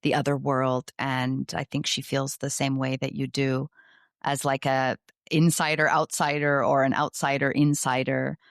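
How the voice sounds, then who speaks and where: A woman's voice: extremely low pitch, level tone, a little slow, no echo, clean studio sound. A woman hosting a conversational podcast interview, encouraging her guest and asking long questions. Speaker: podcast host.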